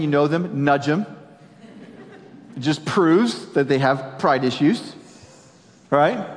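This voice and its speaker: A man in his forties preaching a sermon as a pastor, animated and jokey, dropping suddenly to quiet emphasis. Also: high voice. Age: 40s. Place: large church auditorium. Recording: long hall reverb.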